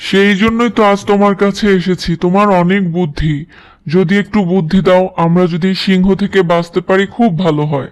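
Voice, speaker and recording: Man as a high, clear-voiced cartoon jackal. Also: fairly even intonation, normal speed, natural voice. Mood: pleading. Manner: deferential, earnest persuading. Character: small clever jackal, worried, polite and pleading schemer. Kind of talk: children's cartoon story dialogue.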